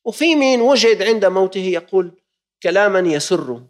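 A preacher with a Levantine Arabic accent, his voice sitting a little high for a man. He urges his listeners on with fervent exhortation and pointed rhetorical questions. He slides from stately classical phrasing into casual dialect and back. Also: lively intonation, moderate pace. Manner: switches between formal classical phrasing and colloquial dialect. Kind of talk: sermon.

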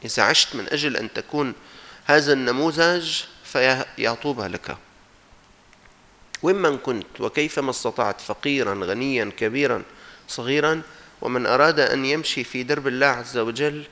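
A man's voice: medium pitch, bright, forceful tone. A man with a Syrian accent giving a religious sermon, exhorting his listeners emphatically and mixing formal with colloquial speech. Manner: emphatic, exhorting, with rhetorical repetition. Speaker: preacher.

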